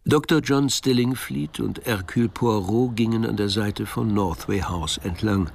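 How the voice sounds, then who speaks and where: A man narrating a radio crime play in a measured, grave literary style. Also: fairly low pitch, vocal fry, soft background music.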